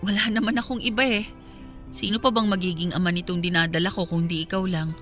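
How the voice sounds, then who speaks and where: A hurt but resolute Filipino mother acted by a woman in a radio drama. She speaks firmly and reproachfully in a bright, forceful voice. Faint music plays behind her. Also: lowish voice, fairly even intonation, fast talker, natural voice.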